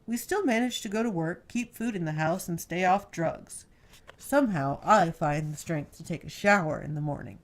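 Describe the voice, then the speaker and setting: A woman reads a young wife from a pulp novel in a slightly low voice. She is earnest and worried, with a playful streak, and leans on her words to make a point. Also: moderate pace, lightly acted. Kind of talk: audiobook character dialogue.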